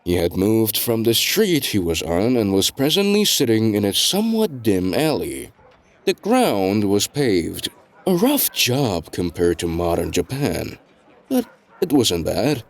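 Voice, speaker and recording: Guy narrating a story, takes his time, pitch moves around a lot, measured delivery. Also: wildly swooping pitch. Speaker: narrator of a web novel fandub.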